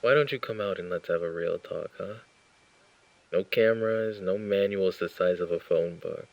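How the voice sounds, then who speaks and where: A man playing a suave, possessive mafia boss in an intimate roleplay, speaking with mock courtesy and a teasing drawl in a low, gravelly voice. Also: normal speed, lo-fi sound. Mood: teasing, menacing. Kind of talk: roleplay ASMR acting, intimate address to listener.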